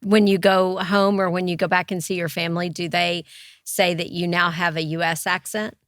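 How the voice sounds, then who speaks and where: A woman's voice: lowish voice, little pitch movement, moderate pace, a bit bright, no room sound, studio quality. Upbeat woman hosting an interview. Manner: upbeat, teasing. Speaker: podcast host. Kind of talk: friendly interview questions.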